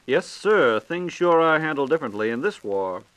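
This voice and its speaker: A man's voice: a little high, very animated, moderate pace, fairly bright tone, smooth, no echo, rough recording. An American man hosting a scripted 1940s radio program, asking questions in a folksy, inquisitive way. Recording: old 1940s radio recording.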